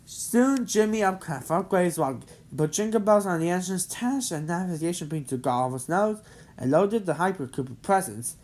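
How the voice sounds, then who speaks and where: A woman reads a children's story aloud. Her voice is a little low but swings widely in pitch, and she sometimes stumbles over words. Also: expressive, moderate pace, a little mellow. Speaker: storybook reader.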